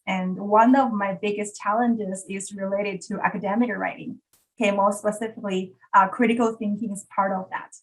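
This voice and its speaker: A woman from China, speaking English as a second language, opening an online workshop with measured, hesitant phrasing over a video call. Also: mid-range voice, poor audio. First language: Chinese. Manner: measured, with frequent ums and uhs. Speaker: workshop facilitator.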